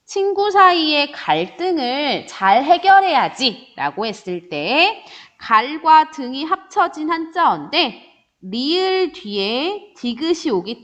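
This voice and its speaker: Female grammar teacher, high and animated, drawls example words slowly for students. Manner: lively teacher voice, answers imagined student questions, drawn-out example words. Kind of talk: online grammar lecture.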